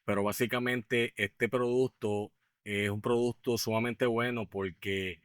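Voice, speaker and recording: Man in his fifties, Puerto Rican accent, low-pitched, smooth, dry close sound, halting with fillers. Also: steady tone, dry recording. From Puerto Rico. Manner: hesitant, many fillers and restarts. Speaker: guest, business owner. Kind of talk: podcast interview.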